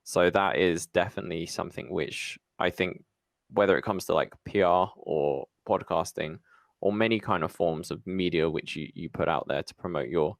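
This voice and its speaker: A man with a lowish, gravelly voice, chatting as a podcast host. His phrasing is loose and tentative, and the sound is dry and close. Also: moderate pace, no echo. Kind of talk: conversational interviewing.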